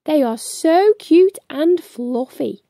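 Woman playing storybook boy, eager, bubbly, high pitch, swooping intonation, kindly. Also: lively intonation, slow, warm tone, no room sound, studio quality, exaggerated voice. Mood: excited. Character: excited, kind young boy in a storybook.

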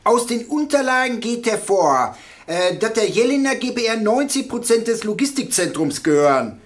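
A man playing an evasive, gruff police detective in a crime drama, talking casually and bluntly in everyday slang. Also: high voice, very animated, moderate pace. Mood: matter-of-fact. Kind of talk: naturalistic audio drama dialogue.

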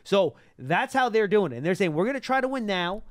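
A man's voice: high voice, sing-song, a little fast, no echo. A man hosting live sports talk, explaining things emphatically into a close studio mic. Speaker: sports talk show host. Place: small indoor broadcast studio. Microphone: close broadcast mic on boom arm.

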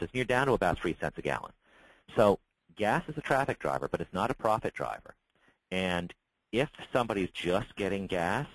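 Deep-voiced man explaining. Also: fairly bright tone, no echo, rough recording. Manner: conversational, frequent restarts and repeated words. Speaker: trade association spokesman. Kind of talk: webinar Q&A answer.

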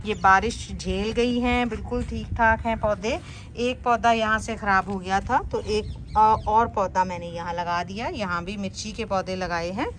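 A woman's voice: fairly high pitch, a bit bright, slight background noise. A woman casually narrating a garden tour as a vlogger.

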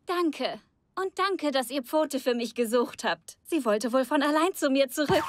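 A woman's voice: high-pitched, lively intonation, a little slow, a little hiss or hum. A woman playing a sporty, driven, friendly young female athlete in a German-dubbed children's cartoon, speaking earnestly. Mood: friendly, confident. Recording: loud background music.

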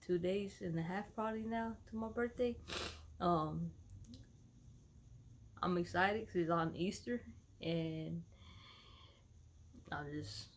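A woman in her thirties with a low, gravelly voice, talking to her followers from home. She is from Dallas, Texas and keeps it loose and slangy, rambling along with plenty of fillers. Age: 30s. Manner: laid-back, slangy, rambling with many fillers. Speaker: vlogger. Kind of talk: casual vlog chat to followers.

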